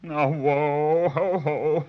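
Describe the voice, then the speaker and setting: Mournful, self-pitying whale. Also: male voice, on the high side, steady tone, drawn-out words. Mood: miserable. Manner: whining, woeful, sighing. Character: mournful, self-pitying, hypochondriac male polka dot whale. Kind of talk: 1930s radio acting. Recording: muffled 1930s radio recording.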